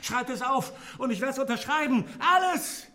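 A man's voice: animated, normal speed, pushed and bright, full character voice. A man playing a panicking, pleading prisoner, a loyal party man, in a radio drama. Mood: frantic pleading. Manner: frantic, pleading, shrill outbursts. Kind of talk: German radio drama acting.

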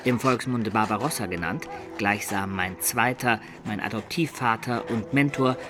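A man's voice: on the low side, natural voice. A man playing a roguish medieval Italian of peasant birth in a radio play, who halts and labours over archaic words as he writes his chronicle. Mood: proud recounting. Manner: laborious, halting dictation while writing, archaic wording.

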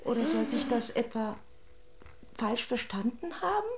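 An actress playing a haughty, dramatic but affectionate toy princess in a children's radio drama. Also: female voice, a little high, animated, a little slow, vocal fry. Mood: hesitant. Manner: pompous, dramatic, exclaiming and lamenting.

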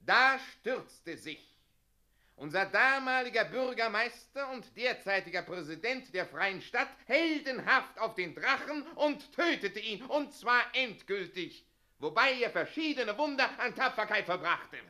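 An officious state functionary in a radio drama. His high, piercingly bright voice proclaims with grand ceremony. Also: expressive, a little slow, exaggerated voice. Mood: grandiose, ceremonial. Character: officious, servile male official presiding over a wedding. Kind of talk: German radio play acting.

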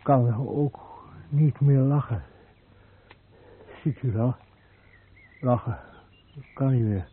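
Radio drama: male actor as lonely burn patient, slow halting fragments and stammer, narrow tinny sound. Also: medium pitch, slight background noise, natural voice. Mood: resigned. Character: badly burned male car-crash patient, halting, lonely, insecure. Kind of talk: Dutch radio drama acting. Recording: thin phone-like band, muffled radio recording.

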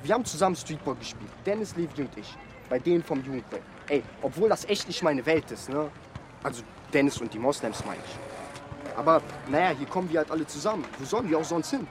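A man acts an excitable, naive young lad in a radio drama, slangy and fairly high-voiced. Urban outdoor noise is clearly heard behind him. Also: brisk, lightly acted. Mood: excitable, chatty. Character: excitable, naive young male hanger-on from the youth club. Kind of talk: slangy interview-style radio drama monologue.

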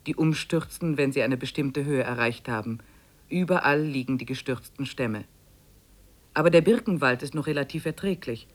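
A measured expedition diarist, woman. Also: deep voice. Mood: sober, strained. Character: weary woman trekker narrating her expedition diary. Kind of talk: scripted diary narration in a 1950s radio play.

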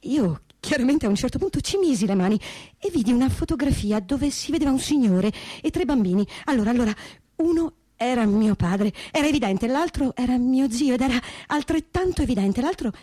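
An actress voicing a woman who candidly recounts a painful family secret in a halting, colloquial stage reading. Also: high voice, lively intonation, warm tone, no echo. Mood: animated recollection. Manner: halting, repeating words, colloquial. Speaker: stage reader. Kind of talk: dramatic reading of a first-person testimony in conversational style.

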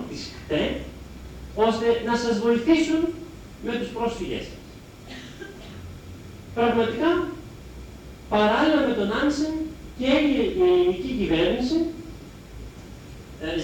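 Male lecturer, high and very animated voice, emphatic explainer with halting self-corrections, roomy live-hall sound. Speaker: history lecturer. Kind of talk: public lecture, semi-improvised explaining. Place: lecture hall, speaking to an audience. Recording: very echoey hall, distant mic, faint noise.

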